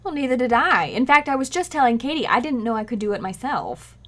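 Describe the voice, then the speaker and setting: A woman's voice: on the high side, animated, quick pace, a bit bright. A woman playing a cheerful, scatterbrained young housewife in 1940s-style radio comedy, with a breezy, innocently matter-of-fact manner.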